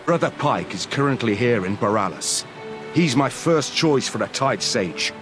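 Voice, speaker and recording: A man's voice: mid-range voice, lively intonation, normal speed, close to an everyday voice. An actor playing an earnest male ship captain recruiting a crew in a video game cutscene. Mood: resolute. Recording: orchestral music behind the voice.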